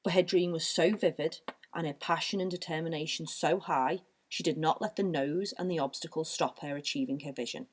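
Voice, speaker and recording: A woman co-hosting a podcast, introducing a guest and asking questions in a measured, even way. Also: deep voice. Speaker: podcast co-host. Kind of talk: scripted guest introduction and chat.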